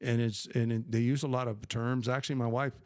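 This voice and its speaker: A man's voice: mid-range voice, little pitch movement, brisk, a little mellow, no echo, studio quality. An older man hosting a casual live question-and-answer stream, talking emphatically and rambling with many fillers and restarts. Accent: New Mexican Spanish when speaking Spanish. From New Mexico, USA. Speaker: livestream host.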